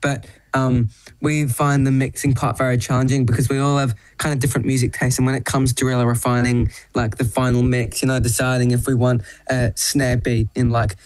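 A man's voice: medium pitch, level tone, fairly quick, poor audio. A young man in a band answering interview questions in a chatty way, acting out what his brothers say. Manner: chatty, acts out quotes while explaining. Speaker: band member. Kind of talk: casual interview.

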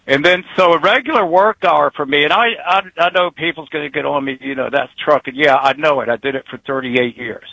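A man in his early sixties rambles on in a folksy, easygoing way as a retired trucker calling in. His voice sits a little high and comes across bright and forceful. The sound is dry and close. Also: no echo, low-quality recording. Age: 60s. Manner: rambling, folksy, chuckles between thoughts. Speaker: retired truck driver caller. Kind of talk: casual phone-in chat.

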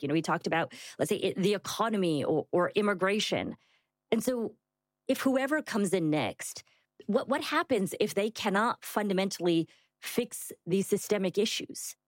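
A woman's voice: medium pitch, dry recording. A woman hosting a news podcast, asking thoughtful, probing questions. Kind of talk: interviewing.

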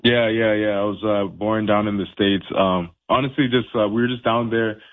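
A man's voice: fairly low pitch, fairly even intonation, moderate pace. A young man from Haiti, a professional boxer, talking casually in an interview with lots of fillers and restarts. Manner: laid-back, many fillers and restarts. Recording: tinny phone line.

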